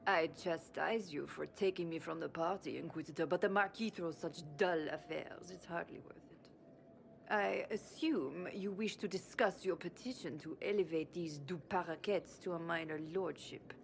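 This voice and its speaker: A woman's voice: fairly low pitch, normal speed, vocal fry, slight background noise. An actress playing a haughty, aging female noble minister in a video game, speaking imperiously and with disdain. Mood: disdainful. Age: older.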